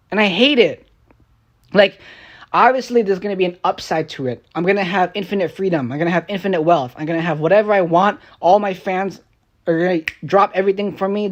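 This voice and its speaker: A young man, a streamer and rapper, ranting on a live stream in a rambling, profane, frustrated way. He drops into low mutters and then bursts out loud. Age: young adult. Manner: swings between low mutters and raised outbursts.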